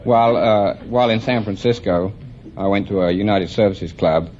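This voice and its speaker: Polite, halting low-voiced man from England. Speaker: quiz contestant, nursery seed worker. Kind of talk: hesitant interview answers. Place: radio studio. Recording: muffled old 1950s radio, faint noise.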